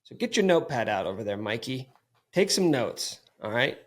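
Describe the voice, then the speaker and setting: A man's voice: medium pitch, big pitch swings, moderate pace. A man hosting a casual sports podcast, talking in a playful, teasing, mock-bossy way. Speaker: podcast host.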